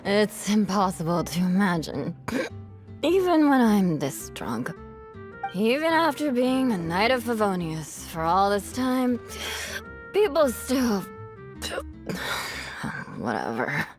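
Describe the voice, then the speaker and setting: A woman voicing a proud young female knight in a video game, tipsy, guarded and halting. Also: medium pitch, very animated, faint music behind. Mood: dejected. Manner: halting, slow, sighing. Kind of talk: video game cutscene acting.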